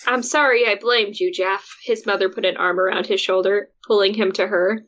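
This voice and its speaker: A woman's voice: mid-range voice, fairly even intonation, moderate pace, a bit bright, dull audio. A woman co-hosting a comedy podcast, dryly playful, reading a story aloud with dramatic flair. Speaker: podcast co-host.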